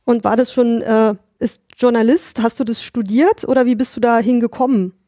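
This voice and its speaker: A woman's voice: a little high, steady tone, normal speed, dark tone, no room sound, studio quality. A woman co-hosting a podcast, asking a guest questions in a casual, curious way. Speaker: podcast co-host. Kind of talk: casual interview.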